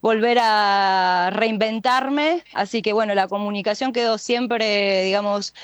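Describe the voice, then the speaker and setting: A woman's voice: medium pitch, unhurried, smooth, dry recording. A middle-aged Argentine woman talking as a guest in an interview, hesitant and full of fillers. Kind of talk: phone-in interview chat.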